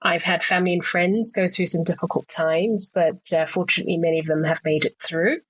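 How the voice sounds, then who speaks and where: Woman podcast guest, low-pitched and composed, dry close sound, steady interview answers. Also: brisk, slightly rough, no echo, poor audio. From Zimbabwe. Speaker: podcast guest, actuary and coach.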